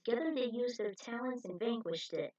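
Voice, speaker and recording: A woman's voice: on the high side, brisk, darkish tone, muffled recording. A woman reading her own fan fiction story aloud as its author and narrator.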